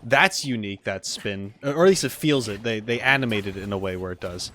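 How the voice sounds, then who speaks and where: A man's voice: fairly low pitch, lively intonation, fairly quick, sharp tone, a little hiss or hum. A man giving casual gaming reaction commentary as a video host, informal and exclamatory, thinking aloud as he goes. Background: background game music.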